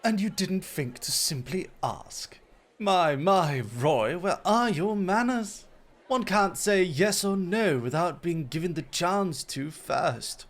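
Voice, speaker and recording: Man playing a sly, high-voiced dog boy host. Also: expressive, slow, heavily acted. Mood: mock scolding. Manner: theatrical, silky politeness with teasing jabs. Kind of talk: ASMR roleplay acting addressed to the listener.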